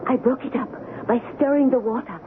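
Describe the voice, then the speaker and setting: A woman acting a cunning island woman in a 1970s radio drama, with deliberate, theatrical, clipped phrasing. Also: a little high, natural voice. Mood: agitated. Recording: muffled, noisy 1970s AM radio broadcast.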